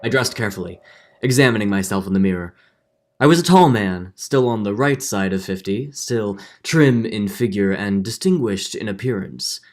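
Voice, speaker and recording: A man's voice: fairly low pitch, animated, normal speed, soft background music, close to an everyday voice. A man playing a middle-aged judge, earnest and guilt-ridden, in a dramatic 1940s radio drama style with hesitant turns. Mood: brooding, confessional. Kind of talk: 1940s radio drama acting with first-person narration.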